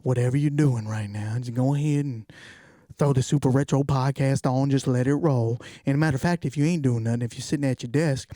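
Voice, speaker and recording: A man co-hosting a retro podcast, reading fan mail in a relaxed, slangy, joking way. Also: medium pitch, a little fast, no room sound, clean studio sound. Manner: laid-back, slangy, laughs easily. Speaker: podcast co-host.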